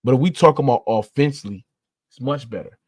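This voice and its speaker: A man's voice: mid-range voice, quick pace, a little mellow, dry recording. A man hosting a sports debate in slangy casual talk, insistent and argumentative, repeating his points and swearing freely. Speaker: sports debate show host.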